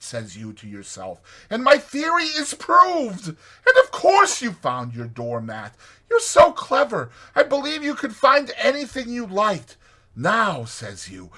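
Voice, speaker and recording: A man's voice: very high-pitched, bright, forceful tone, pitched above the performer's own voice, exaggerated voice. A man voicing a naive, fussy male mole from a storybook, wordy and exclamatory in his delivery. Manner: wordy, indignant or gushing, exclamatory. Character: naive, fussy male mole, pettish yet easily amazed.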